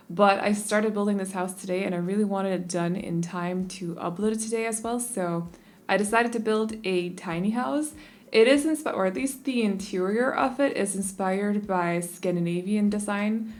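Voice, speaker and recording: A young Danish woman chatting casually and rambling a little as she talks over a game speed build, often restarting her sentences. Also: mid-range voice. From Denmark. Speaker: YouTube creator.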